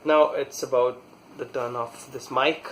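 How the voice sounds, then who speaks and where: A man talking casually through a hands-on product installation, halting and pausing mid-sentence as he works. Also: mid-range voice, steady tone, a bit bright, a little hiss or hum, low-quality recording. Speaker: product reviewer demonstrating installation. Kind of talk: casual hands-on tutorial talk.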